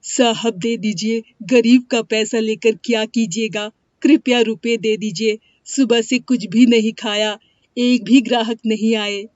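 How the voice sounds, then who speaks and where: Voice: mid-range voice, steady tone, a little slow, no room sound, natural voice. A performer voicing a poor, humble cobbler boy in a story, polite and plaintive. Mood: pleading. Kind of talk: animated moral-story dialogue. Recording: dry, dull lo-fi studio sound.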